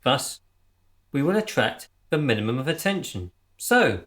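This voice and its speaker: A man's voice: medium pitch, sing-song, unhurried, a bit bright. A man playing an earnest, pompous gang leader who is easily flustered, in a radio comedy drama. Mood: pompous, businesslike. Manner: stammering and spluttering when worked up, otherwise pompous and precise.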